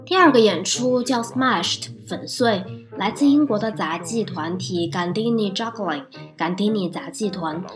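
A woman's voice: medium pitch, a little slow, hardly any pauses. A woman hosting an audio log, reading a scripted review as narration. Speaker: podcast host, reviewer. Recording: clear music behind the voice.

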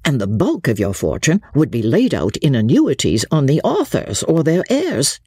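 Woman acting low, husky, wry young gentleman. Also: wildly swooping pitch, normal speed, dry recording, clean studio sound, heavily acted. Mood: teasing. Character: mild, wry young gentleman, teasing and courteous.

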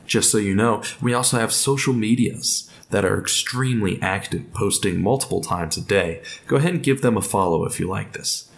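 A man gives a podcast introduction in a low-to-middle, gravelly voice with plenty of fry. He is informal and encouraging as he addresses listeners. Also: normal speed, hardly any pauses. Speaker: podcast creator and host.

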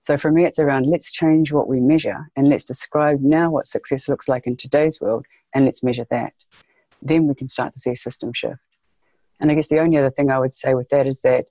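New zealand woman on a podcast, warm mellow tone, dry close sound, keeps repeating herself for emphasis. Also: very deep voice, no room sound, low-quality recording. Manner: earnest, emphatic, repeats phrases for stress. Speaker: guest, education leader. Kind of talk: podcast interview.

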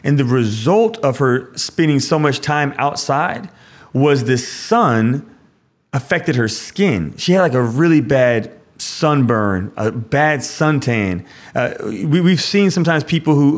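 A man of middle pitch, a priest, explains scripture in a loose, conversational way. He restarts his sentences often and drops in casual "like" asides as he builds his point. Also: lively intonation, unhurried.

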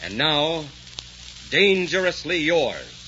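A man's voice: on the high side, big pitch swings, slow. A man announcing and narrating a 1940s radio drama in a grand, declamatory manner. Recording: echoey, muffled 1944 radio recording with hiss.

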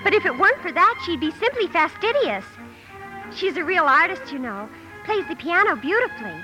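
A woman acting a proper, excitable young girl with affected elegant speech in a 1950s radio drama. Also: very high-pitched, a little fast, low-quality recording. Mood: gushing. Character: proper, excitable young girl who misuses fancy words. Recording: old radio broadcast with music behind.

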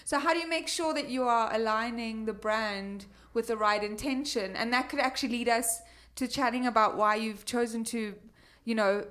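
A woman's voice: a little high, moderate pace, fairly bright tone. A woman with a South African accent moderating a conversational interview, chatty and wordy in her questions.

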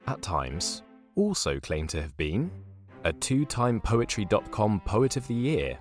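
An actor playing a wry, grandiose narrator, delivering scripted mock-documentary storytelling in a dramatic, mock-serious way. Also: male voice, fairly low pitch. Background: music.

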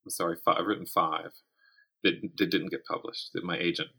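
Deep, husky-voiced man in casual conversation. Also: level tone, fairly quick, bright, forceful tone. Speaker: interview guest, novelist and audio drama writer.

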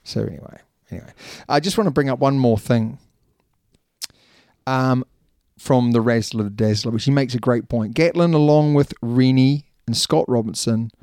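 Podcast co-host, male, New Zealand accent, dark mellow voice, dry close sound, loose rambling delivery. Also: medium pitch, normal speed, no room sound. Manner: chatty, laughs easily, rambling asides. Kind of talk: casual rugby podcast chat.

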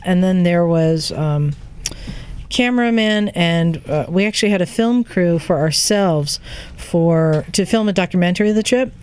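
A woman chatting casually as a podcast co-host, telling a story in an animated way and acting out what people said. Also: lowish voice, smooth. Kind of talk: casual storytelling in a group chat.

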